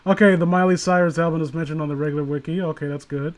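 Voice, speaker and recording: A man with a distinctly high-pitched voice reads a story aloud for laughs. He hosts the read-along and keeps breaking off into irreverent, mocking asides. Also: steady tone, racing, crowd noise. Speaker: host reading a creepypasta aloud with friends. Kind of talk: comedic read-along with improvised riffing.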